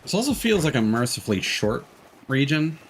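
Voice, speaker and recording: Animated man chatting on a game stream. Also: medium pitch, brisk, soft background music. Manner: informal, swearing. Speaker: live streamer. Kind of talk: casual gaming stream commentary.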